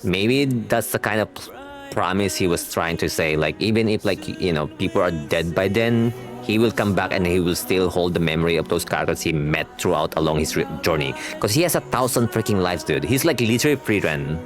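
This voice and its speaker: A young man reacts to a game as a streamer in a fairly low voice. He talks casually and slangily, circling back to rephrase his thoughts. Music plays faintly behind him. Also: brisk. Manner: rambling, slangy, repeatedly rephrasing.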